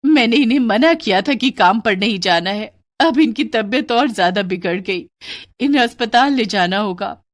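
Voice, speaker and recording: A woman's voice: a little high, expressive, normal speed, natural voice. A woman voicing an anxious, caring young daughter in a dramatized moral story, earnest in manner. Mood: alarmed.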